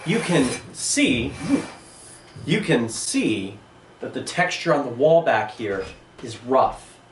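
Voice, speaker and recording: Animated man teaching an art lesson. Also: mid-range voice, slow pace, slight background noise. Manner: animated, enthusiastic explaining. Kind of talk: video lesson. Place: indoor room with a table and a sandstone wall. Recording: roomy, distant mic.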